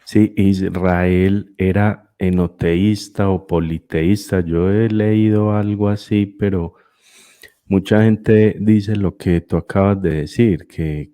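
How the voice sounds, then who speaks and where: Deep, slow, dark-voiced man hosting. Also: no room sound, studio quality. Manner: brief acknowledgments, long rambling questions. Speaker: podcast host, interviewer. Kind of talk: casual interview questions.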